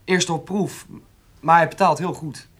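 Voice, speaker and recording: An actor playing a polite, eager young farm lad in a radio drama, tentative and deferential. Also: male voice, fairly high pitch, steady tone, unhurried, fairly bright tone. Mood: tentative, earnest. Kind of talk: naturalistic Dutch radio drama acting.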